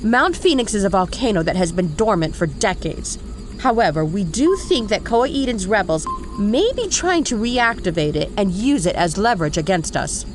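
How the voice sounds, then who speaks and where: An actress playing an authoritative, composed army general in an audio drama. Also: female voice, medium pitch, sing-song, moderate pace, soft background music, lightly acted, rough recording. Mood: briefing.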